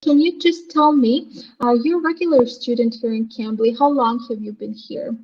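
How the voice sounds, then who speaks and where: A woman with a Serbian accent talks in a fairly high voice. She chats casually as a language tutor, and the sound is slightly muffled, like a lo-fi internet call. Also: moderate pace, darkish tone. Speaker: online English conversation tutor. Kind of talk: casual conversational chat. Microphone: online video call. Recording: muffled, lo-fi online call audio.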